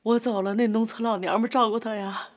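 An actress playing a theatrical, sharp-tongued middle-aged mother, scolding and sarcastic. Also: a little high, fairly even intonation, fast, lightly acted. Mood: tearful. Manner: scolding, sarcastic, turns tearful. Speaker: mother of grown children. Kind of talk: TV drama acting.

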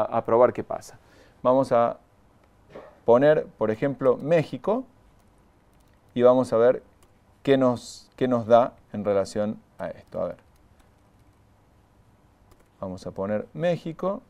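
A man's voice: mid-range voice, darkish tone, highs cut off. Man explaining data to viewers. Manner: explanatory, repeats key points, talks to viewers. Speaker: trainer. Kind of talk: live online training. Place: studio in front of a graphic backdrop.

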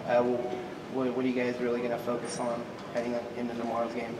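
A male reporter asks his questions haltingly, stumbling over words in a flat, even tone. He sounds far off in a large echoing room. Steady room noise sits behind him. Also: medium pitch. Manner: halting, with many stumbles and "you know". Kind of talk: press conference questions. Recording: echoey hall, distant mic, room noise.

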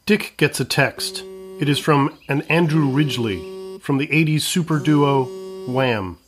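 A man's voice: on the high side, animated, unhurried. A man reading scripted narration for an audio comedy, describing scenes as the narrator.

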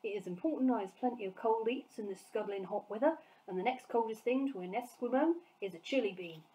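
Woman reading as a gruff bullying giant, brutish male, jeering, broken grammar, storybook villain. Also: fairly high pitch, fairly quick, dark tone, close to an everyday voice, rough recording. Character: brutish greedy male giant, rude and boastful.

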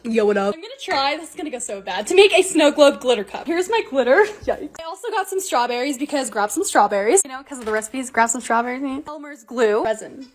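A young woman with a slightly raspy voice, narrating her home projects in a chatty, excitable vlog voice-over. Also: sits high. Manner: chatty, excitable, quick asides. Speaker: lifestyle vlogger.

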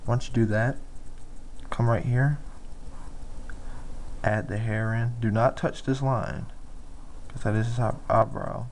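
A man giving casual step-by-step drawing instruction, speaking informally and haltingly, with pauses as he works. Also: medium pitch, fairly even intonation, a little mellow, a little hiss or hum.

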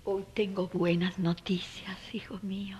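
A woman playing an anguished, protective mother in an audio drama, tender and pleading, with a husky, breathy voice. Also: mid-range voice, flat delivery, measured pace, darkish tone, a little hiss or hum, natural voice. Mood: tender resolve.

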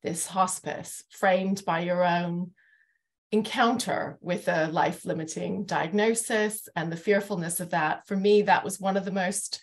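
A woman's voice: on the low side, steady tone, measured pace. A middle-aged woman hosting a thoughtful discussion over a video call, earnest and articulate as she searches for the right phrasing. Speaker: host, interviewer. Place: living room at home, indoors, on a video call. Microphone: wired earphone headset on a video call.

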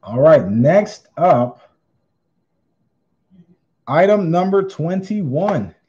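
A man hosting a live online auction, calling bids with theatrical, drawn-out singsong phrases. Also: on the high side, big pitch swings, a little mellow, muffled recording, a little hiss or hum. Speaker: online auctioneer, channel host. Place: indoors at a tabletop display.

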